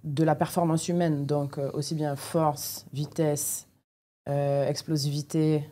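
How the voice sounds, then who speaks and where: Woman podcast guest, low pitched n mellow, methodical, down to earth, hunts for words. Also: level tone, measured pace. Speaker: elite jiu-jitsu athlete, podcast guest. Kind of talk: explanatory interview talk.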